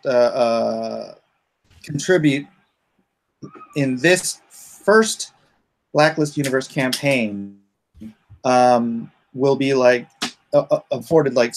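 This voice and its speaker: A man's voice: medium pitch, slight background noise, low-quality recording. Man rambling casually on a livestream. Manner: rambling, thinking aloud. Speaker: comic creator hosting a crowdfunding livestream.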